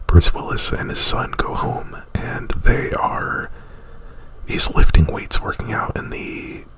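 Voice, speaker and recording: A man whispering an ASMR retelling of a movie plot right up at the mic, in a halting, rambling, informal way. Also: a bit bright. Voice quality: breathy whisper. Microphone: very close to the mic.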